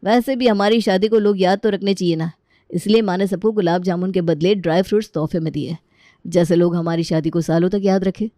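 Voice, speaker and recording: A woman's voice: fairly low pitch, fairly even intonation, a little fast, dark tone, no echo, clean studio sound, lightly acted. A woman voicing an easygoing young husband in a family story. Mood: explaining.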